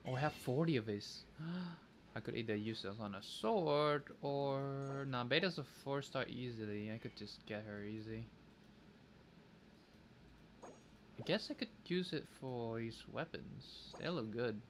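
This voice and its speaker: A man's voice: medium pitch, animated, a little slow. A man doing casual livestream gameplay chat, thinking aloud with playful, childish wording. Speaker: game streamer. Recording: faint background noise.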